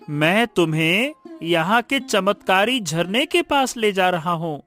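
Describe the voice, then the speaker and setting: A woman's voice: lowish voice, expressive, slow, fairly bright tone, faint music behind. A woman voicing a coaxing male talking parrot in a cartoon story, repeating invitations to follow. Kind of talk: cartoon moral story acting.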